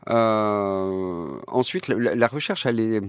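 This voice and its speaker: A man, a documentary filmmaker, answering interview questions in a thoughtful, halting way and repeating words. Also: on the low side, expressive, clean tone, no room sound.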